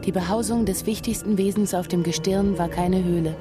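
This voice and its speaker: A woman narrating a literary science-fiction radio drama in a measured, precise manner. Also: medium pitch, fairly even intonation, close to an everyday voice. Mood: measured, storytelling. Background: music.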